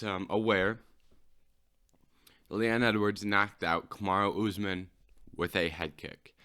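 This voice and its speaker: A man's voice: low voice, a bit bright, smooth. A man hosting his own podcast, talking through a fight recap alone in a casual, unscripted way like a keen fan. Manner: keen fan, lingering on a knockout moment by moment. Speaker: podcast host. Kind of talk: solo sports recap podcast.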